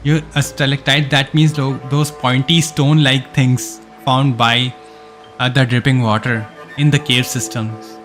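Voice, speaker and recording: A man's voice: medium pitch, flat delivery, measured pace, fairly bright tone. Male game streamer reading aloud over music. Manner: stumbles over words and corrects himself while reading. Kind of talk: live let's play, reading game story text aloud with casual asides.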